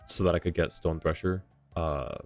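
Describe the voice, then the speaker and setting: A man's voice: sits low, fairly even intonation, normal speed, faint music behind. A man livestreaming a video game, reading along and adding casual, dry, joking asides into a close mic.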